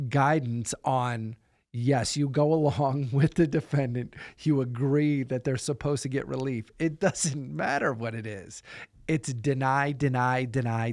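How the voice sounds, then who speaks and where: A man's voice: medium pitch, no room sound, studio quality. A man telling a personal story in conversational podcast style, with an emphatic, incredulous manner. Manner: emphatic, incredulous, repeats words for stress. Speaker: podcast host telling his own story.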